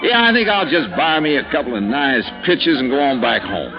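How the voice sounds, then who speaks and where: A man hamming up a brash, folksy Texas oilman with a Texan drawl, bragging about his money. His pitch swings widely as he boasts. Music runs behind him on a muffled 1950s radio recording. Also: sing-song, fairly quick. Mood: boastful. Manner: loud folksy drawl, says partner. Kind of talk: 1950s radio drama acting.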